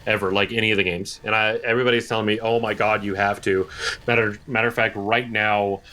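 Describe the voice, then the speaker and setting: Low-voiced man in casual podcast talk. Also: level tone, fast, sharp tone. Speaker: podcast co-host.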